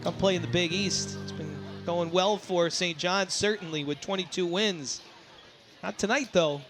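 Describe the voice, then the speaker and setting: A man calling a baseball game live as play-by-play announcer, excitable and given to shouting on big plays. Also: high voice, normal speed, over music. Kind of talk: live sports commentary. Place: outdoor baseball ballpark.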